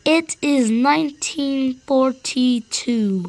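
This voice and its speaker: A female storyteller with a halting, stammering delivery, improvising a tale for a train cartoon. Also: high-pitched, darkish tone, a little hiss or hum. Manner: halting, stammering, repeats and restarts words. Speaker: storyteller and host of a hobby cartoon series.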